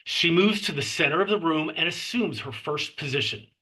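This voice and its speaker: A man reading screenplay stage directions as narrator, with measured phrasing and clipped scene headings. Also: fairly high pitch, lively intonation, sharp tone, slightly rough, low-quality recording.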